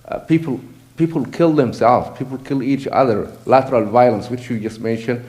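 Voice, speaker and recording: A man's voice: medium pitch, normal speed, a little mellow. A man from Sudan giving an earnest public comment at an assembly, often repeating and restarting his words. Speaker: audience member at an assembly.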